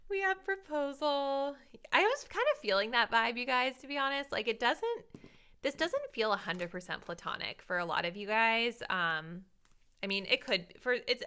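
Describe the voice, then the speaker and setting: Young woman, tarot reader, casual chat, slightly raspy, bright tone. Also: mid-range voice. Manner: chatty, many "like"s, thinks aloud. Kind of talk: casual tarot card reading to viewers.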